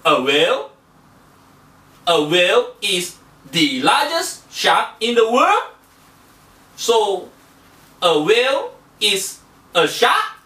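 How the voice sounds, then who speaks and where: A woman's voice: mid-range voice, big pitch swings, slow pace, pauses often, pushed and bright, exaggerated voice. A woman voicing a naive, easily confused young fish puppet, hesitant and full of questions, in a children's show. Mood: curious. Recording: roomy echo, distant microphone.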